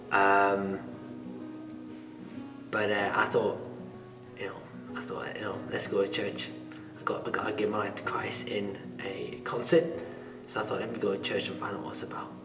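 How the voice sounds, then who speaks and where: A man in his twenties giving a church testimony, hesitant and often trailing off into a near whisper. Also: on the low side. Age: 20s. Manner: hesitant, many fillers, drops to a near whisper. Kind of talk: testimony interview. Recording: echoey hall, mic far off, music behind.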